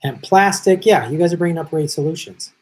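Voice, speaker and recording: A man's voice: on the high side, animated, a little mellow. A middle-aged man hosting a live stream, chatting casually and reading viewer comments while he is losing his voice and jet-lagged. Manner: chatty, thanks viewers by name, quick asides. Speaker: livestream host.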